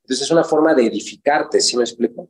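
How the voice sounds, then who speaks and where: Male coach guest; measured, reflective delivery full of fillers. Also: mid-range voice. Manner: reflective, with frequent fillers and restarts. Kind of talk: conversational interview.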